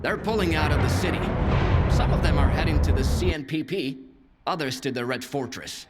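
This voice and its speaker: A man's voice: on the high side, a little fast, over music, close to an everyday voice. An actor voicing a wry, teasing male stalker in a video game. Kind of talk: video game cutscene acting.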